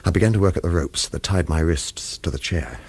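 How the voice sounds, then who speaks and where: A man playing a wry, hard-boiled hero in a radio thriller, speaking in a terse, dry, husky way. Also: low voice, a little fast, a little mellow, no echo. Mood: tense. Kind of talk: scripted first-person radio thriller narration and dialogue.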